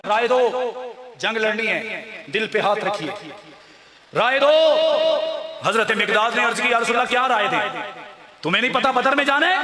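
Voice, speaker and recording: A man with a Pakistani accent preaching in fiery, impassioned oratory, declaiming to rouse his listeners. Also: high voice. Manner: impassioned, declamatory, rousing the audience with questions. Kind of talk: fiery religious oratory to a crowd. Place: large religious gathering, heard over loudspeakers with a crowd. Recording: echoing PA sound with crowd noise.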